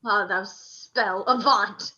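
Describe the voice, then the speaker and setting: A woman's voice: medium pitch, fairly even intonation, slow, bright, forceful tone, a little hiss or hum. A woman playing a proud, wrathful military commander in a declamatory Shakespearean radio play style, on a dull lo-fi recording. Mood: betrayed fury. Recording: dull, muffled lo-fi sound.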